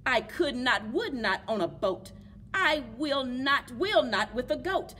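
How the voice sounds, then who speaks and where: Grumpy rhyming refuser read by a woman: emphatic, slow, swooping pitch, clear room noise. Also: expressive, bright, forceful tone, heavily acted. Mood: grumpy refusing. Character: stubborn, grumpy creature refusing food. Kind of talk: rhyming picture-book read-aloud. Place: public library children's book corner.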